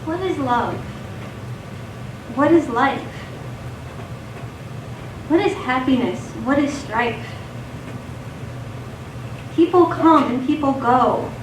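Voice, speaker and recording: A woman's voice: high voice, animated, takes it slowly, long pauses. A woman playing a wistful, poetic young female figure reciting rhyming verse in stage radio theatre. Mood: wistful, questioning. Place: theater stage, recorded from a distance. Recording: echoey theater, distant mic, faint noise.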